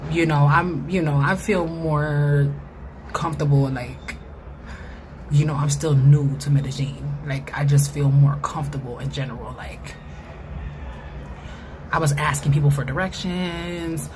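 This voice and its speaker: An American woman with a low voice speaks in a casual vlog monologue. Her delivery is informal and slangy, like everyday conversation. The recording has clear ambient noise behind her. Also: fairly even intonation, a little slow, darkish tone, rough recording. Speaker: vlogger.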